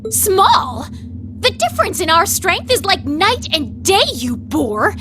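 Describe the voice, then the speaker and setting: A woman's voice: very high voice, huge pitch swings, slow, sharp tone, soft background music, heavily acted. An actress playing a proud, hot-tempered young female knight in a video game, imperious and scolding. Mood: indignant.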